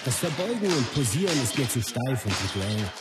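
A confident male martial arts master played by an actor speaks bluntly and philosophically, with loud music under his mid-pitched voice. He narrates in the first person for a radio play, matter-of-fact and opinionated. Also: very animated, fairly quick, lightly acted. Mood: dismissive, instructive.

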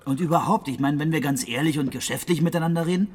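An actor in a German radio drama playing a slick, wheedling crony with a colloquial, persuasive manner. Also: male voice, a little high, quick pace, a little mellow, a little hiss or hum, lightly acted. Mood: needling, grasping. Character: slick, wheedling male crony, casually grasping.

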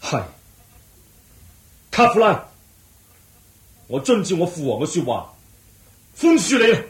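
An honourable young prince in a radio drama, anguished and set on revenge. His fairly high voice swings widely in theatrical declamation, with an audible echo around it. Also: very animated, takes it slowly, heavily acted. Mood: sombre, relenting. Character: young exiled crown prince bent on avenging his father, honourable, anguished. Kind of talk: Cantonese radio drama acting. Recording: echoey radio drama recording.

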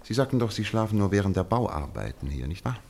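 An actor playing a brisk, shrewd, commanding detective in a radio play, speaking precisely and with authority. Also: male voice, fairly low pitch, sing-song, gravelly, darkish tone, full character voice. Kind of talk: German radio play acting.

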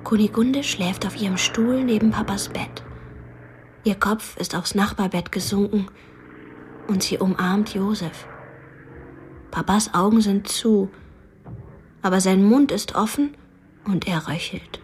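A medium-pitched girlish voice played by a woman in a radio drama. She earnestly acts out grim make-believe with a playful streak. A faint noise bed is audible. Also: a little slow, long pauses, natural voice. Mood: hushed, grim. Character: playful young sister acting out a grim hospital game.

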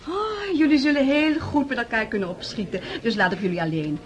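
An actress playing a gushing, gossipy aristocratic society hostess in a radio drama, effusive and theatrical. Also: female voice, on the high side, fairly quick, close to an everyday voice. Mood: confiding. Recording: murmuring party crowd behind.

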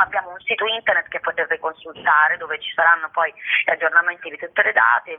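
A woman speaking conversationally as an actress being interviewed on the radio. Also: fairly low pitch. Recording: narrow phone-line sound on radio.

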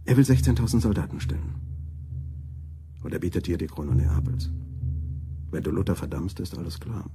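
Radio drama: worldly court adviser, very low voice, dryly instructive, music underneath. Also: male voice, expressive, moderate pace, pauses often. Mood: lecturing, cynical. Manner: matter-of-fact, lecturing. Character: smooth, cynical imperial adviser schooling young monarch. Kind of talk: German radio play acting.

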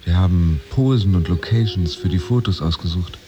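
A man acting an anxious, proud pretend office worker, narrating his thoughts tensely and deliberately in a radio play. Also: deep voice, dull audio, lightly acted. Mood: wistful. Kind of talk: interior monologue in a radio play.

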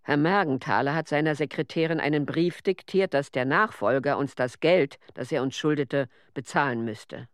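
An actor playing an agitated emigrant witness who recalls persecution in a radio documentary play. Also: male voice, on the high side, moderate pace, few pauses, dry recording, studio quality, natural voice. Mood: agitated recollection.

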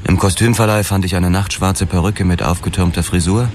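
A man's voice: sits low, fairly even intonation, fairly quick, natural voice. An actor playing a brooding hard-boiled policeman who tells his own downfall in terse noir radio drama narration. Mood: grim, hard-bitten. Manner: terse, hard-bitten storytelling. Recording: loud music behind.

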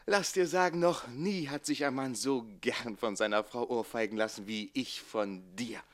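A man playing a cheeky, quick-witted servant bridegroom, an amorous rogue, in playful theatrical radio comedy acting. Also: medium pitch, lightly acted. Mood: teasing, delighted. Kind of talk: classic German radio comedy play acting.

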